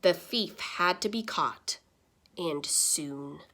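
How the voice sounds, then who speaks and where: A woman reading a children's story aloud in the style of a teacher, with dramatic pauses and stressed words. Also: on the low side, sharp tone.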